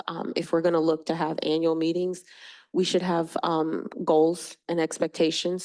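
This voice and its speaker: A woman board member speaking up at a village board meeting, deliberate and earnest, pausing often with "um.". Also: low-pitched, darkish tone. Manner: deliberate, earnest, with frequent um pauses. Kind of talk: meeting discussion.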